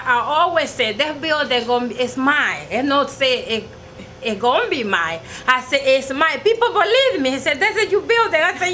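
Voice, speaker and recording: A woman's voice: high voice, sharp tone. A middle-aged woman with an Ecuadorian Spanish accent, telling her own story in an interview. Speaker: restaurant owner interviewee. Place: indoor restaurant dining room. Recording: clearly noisy room ambience.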